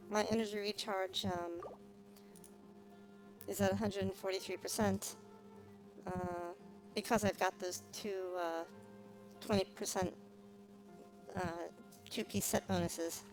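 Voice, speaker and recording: A woman of medium pitch hosts a casual game stream. She thinks out loud in a loose, rambling way, with hesitant pauses. Faint game music plays behind her voice. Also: rough recording. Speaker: streamer, vtuber host. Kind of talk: casual game livestream chat and commentary. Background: video game music.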